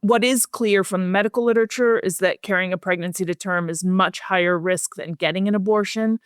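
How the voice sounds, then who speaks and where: A woman speaking as an expert guest in a research interview, explaining things in a measured, plain-spoken way. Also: medium pitch, steady tone, clean tone, dry recording, studio quality.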